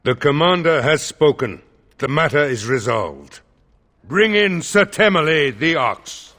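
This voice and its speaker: An actor playing a stern court steward in a video game, speaking in a measured, formal and dryly wry way. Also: male voice, fairly high pitch, big pitch swings, a bit bright. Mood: formal, commanding.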